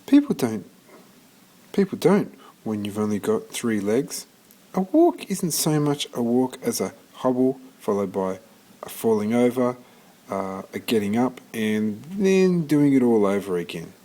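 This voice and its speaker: A man reading a children's story aloud in an expressive storytelling manner, occasionally stumbling and restarting. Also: medium pitch, a little slow. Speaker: storybook reader.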